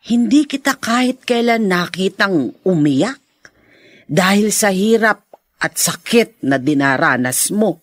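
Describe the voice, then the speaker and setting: A woman's voice: medium pitch, expressive, slow pace, no echo, studio quality. A woman playing a concerned, inquisitive older friend in a Filipino radio drama, with a Filipino accent. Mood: concerned, admiring.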